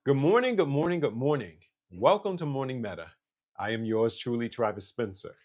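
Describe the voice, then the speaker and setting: A man's voice: mid-range voice, animated, a little fast, darkish tone. A man hosting a mindfulness talk show, upbeat and affirming as he greets listeners and introduces a guest. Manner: upbeat, affirming, frequent "mm-hmm". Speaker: host.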